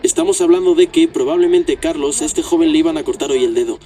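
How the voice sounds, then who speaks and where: An actor as a quick-firing male TV news reporter. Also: a little high, dark tone, close to an everyday voice. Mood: probing. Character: male television news reporter questioning kidnap victims. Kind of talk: dramatized news broadcast interview.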